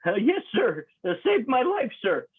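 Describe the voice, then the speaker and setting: A man's voice: very high-pitched, huge pitch swings, a little slow, slightly rough, full character voice. A man playing a jumpy, eager-to-please young lieutenant with a hesitant, stammering delivery in a comic sci-fi script read. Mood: nervous, startled. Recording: muffled, lo-fi phone-like call audio.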